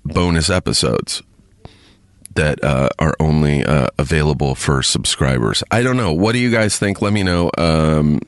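A man hosting a podcast alone, chatting casually to his listeners in a halting, rambling way with lots of fillers, a bit sniffly from congestion. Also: a bass voice, animated, no room sound, clean studio sound. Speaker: podcast host. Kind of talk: casual solo chat to listeners.

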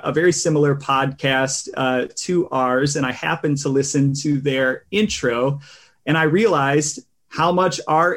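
A man hosting a podcast in relaxed, rambling casual chat, with a tenor voice and many fillers. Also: on the high side. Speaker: podcast host.

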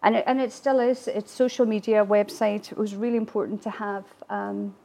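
Woman, Gaelic-first speaker, talkative interview guest with a gravelly edge. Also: medium pitch, flat delivery, moderate pace. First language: Irish Gaelic. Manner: chatty, warm laughs, frequent fillers and restarts. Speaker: guest speaker, distillery attraction manager. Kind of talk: conversational interview answers.

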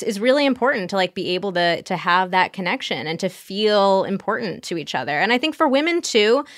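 A woman's voice: mid-range voice, fairly bright tone, dry recording. Woman therapist talking casually, dry sound. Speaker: guest sex therapist. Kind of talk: casual explanatory podcast talk.

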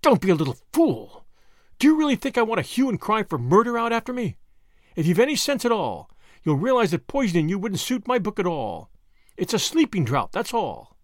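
Man voicing a menacing, high-pitched imperious villainess. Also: wildly swooping pitch, moderate pace, no echo, studio quality. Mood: impatient, scornful. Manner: cold, commanding, sneering. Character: menacing villainess, cold, mocking, imperious woman. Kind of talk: audiobook character acting.

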